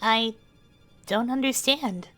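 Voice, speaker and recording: A young woman acting an earnest, friendly and informal young queen in an expressive fan dub. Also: a little high, slow pace, soft background music. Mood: hurt, defensive.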